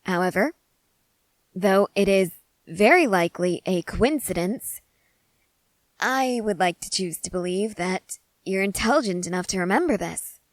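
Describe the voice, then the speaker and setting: A woman playing an excitable, curious scientist in a scripted ASMR roleplay, speaking in eager, wordy, formal and apologetic phrases. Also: medium pitch, measured pace, no room sound, close to an everyday voice, poor audio. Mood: earnest, fascinated.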